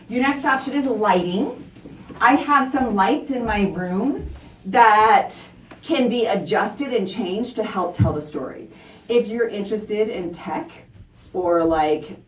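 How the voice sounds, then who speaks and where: A woman drama teacher giving classroom instructions to children, explaining step by step in simple phrases and stressing key words. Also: medium pitch. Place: indoor school classroom. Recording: roomy echo, distant mic, faint noise.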